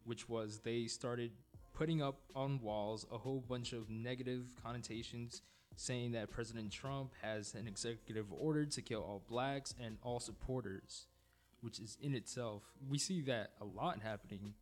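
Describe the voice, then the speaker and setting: A man's voice: medium pitch, steady tone, normal speed, soft background music. A man from New Jersey co-hosting a podcast, speaking matter-of-factly about the news and his own experience. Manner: matter-of-fact, shares news and personal experience. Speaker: podcast co-host. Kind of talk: casual podcast discussion.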